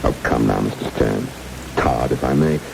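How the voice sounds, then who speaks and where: Super deep gravelly radio drama villain, polite kidnapper, mock genial, hissy rainy old broadcast. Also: male voice, normal speed, exaggerated voice. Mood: smooth, controlled. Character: smooth, courteous kidnapper who sells state secrets. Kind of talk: vintage radio drama acting.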